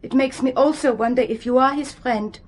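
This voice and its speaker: Casting: earnest German-accented woman for radio spy drama, guarded, high-pitched, careful foreign phrasing. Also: normal speed. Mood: suspicious. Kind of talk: radio spy thriller acting.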